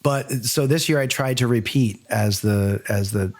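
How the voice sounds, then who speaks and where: A man's voice: medium pitch, moderate pace. A man hosting a podcast in casual banter, talking in a wordy, playfully mock-formal way. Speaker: podcast host.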